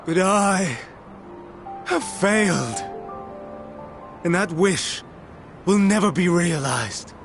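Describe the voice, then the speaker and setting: A mournful, earnest figure from a game cutscene, heavy with failure. His voice sits a little high and moves slowly, in halting, formal, archaic phrases. Music plays clearly behind him. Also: lively intonation, fairly bright tone, full character voice. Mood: anguished apology. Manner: solemn, slow, halting phrases. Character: Hermes, sorrowful, earnest man wracked with failure.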